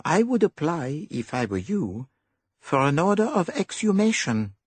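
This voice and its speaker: A man's voice: fairly high pitch, very animated, unhurried, breathy edge, dry recording, pitched above the performer's own voice, exaggerated voice. A man voicing a fussy, silky detective with a put-on French accent. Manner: precise, silky, probing.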